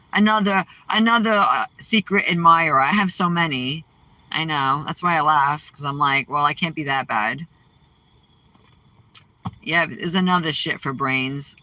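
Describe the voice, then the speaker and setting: A woman with a strong, low-set voice, chatting on a livestream in a blunt, sassy way and talking back to her chat. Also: bright, forceful tone, dry recording, low-quality recording.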